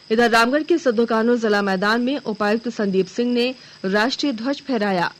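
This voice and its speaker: A woman with a fairly high voice reads a regional news bulletin. Her delivery is formal and measured, in the scripted style of studio radio news.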